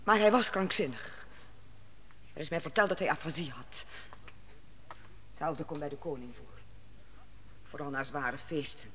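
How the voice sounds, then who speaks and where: A woman's voice: sits low, measured pace, pauses often. An actress playing a middle-aged queen in an old radio drama, anxious, questioning and commanding. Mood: urgent. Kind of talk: 1950s-60s radio drama acting. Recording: old radio play with long reverb and faint hiss.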